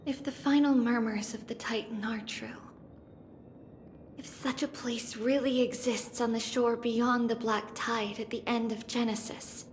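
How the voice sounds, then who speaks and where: Woman as slow, earnest young daughter. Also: on the high side, fairly even intonation, a little hiss or hum, natural voice. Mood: solemn, yearning. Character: earnest, determined young daughter longing for her mother. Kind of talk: dramatic game voice acting.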